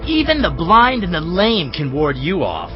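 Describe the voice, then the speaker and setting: An actor playing an overconfident city defender, mocking and taunting, in a dramatized audio Bible. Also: male voice, high-pitched, wildly swooping pitch, moderate pace. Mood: scornful taunting. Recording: dull, lo-fi audio. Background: music.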